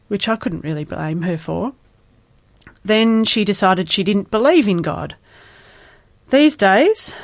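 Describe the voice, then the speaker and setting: A woman reading a personal testimony in a calm, earnest way. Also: medium pitch, dry recording. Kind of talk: scripted first-person testimony.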